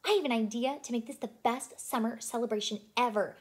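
A woman's voice: on the high side, fairly quick, natural voice. A woman reading the part of a cheerful cartoon puppy leader in a storybook, emphatic and encouraging. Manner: bright-spirited, emphatic, encouraging.

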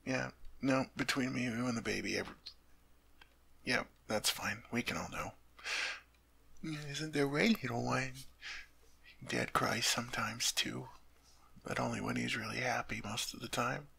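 A man playing a gravelly, flustered young king. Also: mid-range voice, unhurried, pauses often, exaggerated voice. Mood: tender whisper. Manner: stammering, repeats words when flustered; soft tender whispering. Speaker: ASMR roleplay performer. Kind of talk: ASMR audio roleplay.

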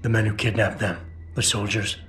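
An actor playing a stoic, determined security operative in a video game, speaking in a measured, terse way. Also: male voice, lowish voice, level tone, fairly bright tone, slight background noise, lightly acted. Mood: terse, guarded. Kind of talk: video game cutscene dialogue.

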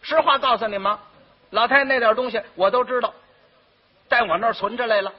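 A man voicing a shrewd, outspoken younger sister in a comic tale, brisk and scolding, with a Beijing accent. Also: extremely high pitch, breathy edge. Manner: brisk, scolding, taking charge. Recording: muffled, dull old recording.

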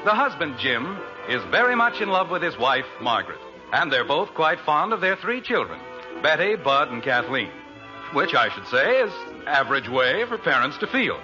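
A wry radio narrator from the 1940s, pitched a little high. He speaks formally and deliberately, with expressive swings and mock solemnity, over music on a dull vintage broadcast. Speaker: announcer, narrator.

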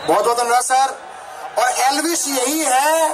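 A man emcees an outdoor stage show, shouting rousing lines into a handheld mic. His voice runs very high, and dense crowd noise and hubbub surround him. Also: a little slow.

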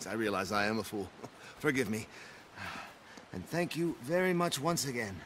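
An actor playing a genteel, nervous wildlife photographer in a game, fussy and self-deprecating in manner. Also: male voice, medium pitch, animated, normal speed, fairly bright tone, slight background noise, lightly acted. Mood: grateful, flustered. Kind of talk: dramatic game cutscene acting.

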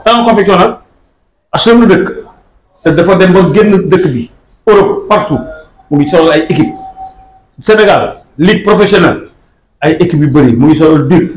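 An older man with a Senegalese accent making a fast, emphatic promotional appeal to camera in a small office. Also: on the high side. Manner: emphatic, rising into quick excited bursts. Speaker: club supporter speaking to camera. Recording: echoey room with faint background noise.

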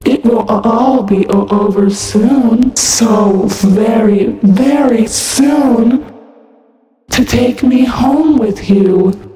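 A woman voicing a menacing, broken animatronic fox for fan-made game lines, stuttering and glitchy, halting and sinisterly sweet. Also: a little high, warm tone. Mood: eerie, menacing. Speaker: amateur voice actor. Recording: echoey long reverb over music.

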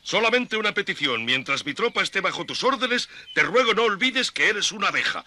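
An actor playing a formal, military ant colonel, stern but courteous, in a dubbed children's cartoon. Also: male voice, high-pitched, animated, brisk, pushed and bright, heavily acted. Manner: formal, military, barking commands.

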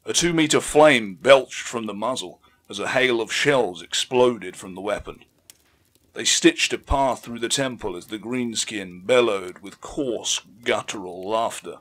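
A man narrating an audiobook story in a measured, theatrical way, with emphatic swells. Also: fairly low pitch, fairly bright tone. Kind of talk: dramatic scripted story narration.

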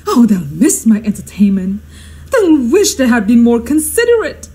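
A woman's voice: on the high side, sing-song, moderate pace, dark tone, husky, poor audio. A woman playing a histrionic society hostess and mother in a radio drama, speaking in a flustered, theatrical, exclamatory way. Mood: exasperated, indignant. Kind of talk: stagey radio drama acting.

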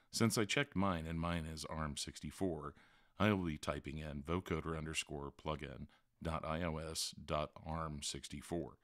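A man's voice: very deep voice, no room sound, studio quality. A man hosting a tutorial, narrating step-by-step instructions in a voice-over. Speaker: tutorial host. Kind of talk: how-to screen-recording narration.

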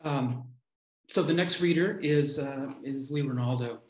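A man's voice: mid-range voice, measured pace. A middle-aged man hosting an online event, introducing a reader over a video call in a roomy space. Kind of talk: event introduction, part read and part informal. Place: room at home, indoors.

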